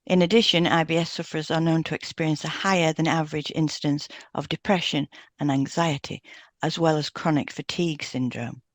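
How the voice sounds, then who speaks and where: A woman's voice: deep voice, steady tone, fairly quick, no echo. A woman reads as co-host of an educational podcast, with a very dry, close sound. Her delivery is informative and scripted, spelling out terms carefully.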